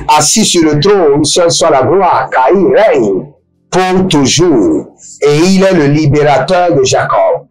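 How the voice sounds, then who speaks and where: A man leading a deliverance prayer service, preaching slowly and emphatically and chanting long runs of speaking in tongues. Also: fairly high pitch, poor audio.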